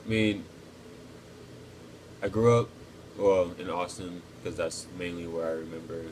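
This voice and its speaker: Deep flat-voiced young man. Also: slight background noise, low-quality recording. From Texas. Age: 20s. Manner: thoughtful, pauses with ums. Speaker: interviewee, cadet. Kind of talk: casual interview answers.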